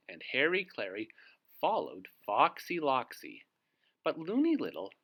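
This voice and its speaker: Children's storybook reader, slow pace, bright voice, highly animated intonation. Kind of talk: animated children's read-aloud.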